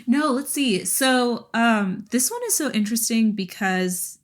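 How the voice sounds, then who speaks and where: A woman's voice: on the high side, animated, unhurried. A woman chats casually as a podcast co-host. She talks informally and with animation, sprinkling in filler words and breaking easily into giggles. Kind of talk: casual book chat.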